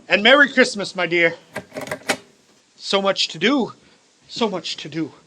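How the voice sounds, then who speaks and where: A man's voice: high voice, sharp tone, full character voice. A man playing an elderly reformed miser in a radio play, speaking with emphatic, theatrical, old-fashioned formality.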